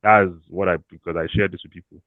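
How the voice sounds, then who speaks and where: A Nigerian man giving career advice to an audience in a casual, animated way, slipping into pidgin and laughing often. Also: low voice, fast talker, no room sound. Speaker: career coach. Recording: thin phone-like dry audio.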